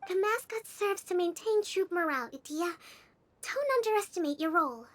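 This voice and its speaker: Squeaky little mascot creature. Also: female voice, animated, moderate pace. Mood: pompous. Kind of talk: anime game voice acting. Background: game music.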